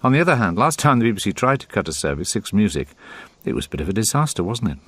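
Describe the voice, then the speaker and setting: A British man presents a radio programme in a lowish voice with animated intonation. He questions in a pointed, wry way, recorded close and dry. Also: racing, no room sound. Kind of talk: scripted presenting and probing interview.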